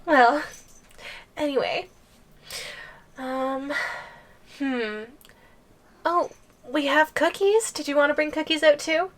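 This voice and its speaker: A woman's voice: high-pitched, slow, long pauses. A woman playing a playful, possessive giantess girlfriend in an ASMR audio roleplay, giggly and coy with lingering hums. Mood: flustered, giggly.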